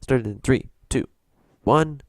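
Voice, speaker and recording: A man hosting a reaction video with casual, halting and hesitant commentary. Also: medium pitch, background noise.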